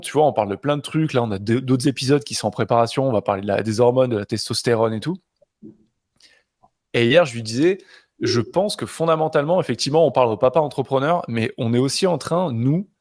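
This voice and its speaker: A man's voice: medium pitch, no room sound, studio quality. A man co-hosting a podcast in an informal, familiar way, often thinking aloud as he talks. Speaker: podcast co-host and interviewer. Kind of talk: casual conversational interview.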